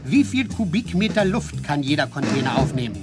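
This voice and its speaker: An actor playing a brisk, demanding businessman in a radio play, speaking emphatically and precisely. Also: on the high side, sing-song. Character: brisk, demanding businessman with slick sales patter. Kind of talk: German radio play acting. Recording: loud music behind the voice. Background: loud music.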